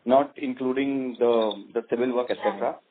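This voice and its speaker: A man with an Indian accent answers on an earnings call as a company executive, explaining in a halting way. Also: medium pitch, slight background noise. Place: conference call. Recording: tinny phone-like conference line.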